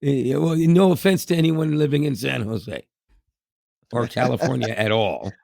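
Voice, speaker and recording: A hoarse man from Canada, co-hosting a casual podcast in a rambling way, with restarts and chuckles. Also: mid-range voice, moderate pace, mellow, no echo, studio quality. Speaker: podcast co-host.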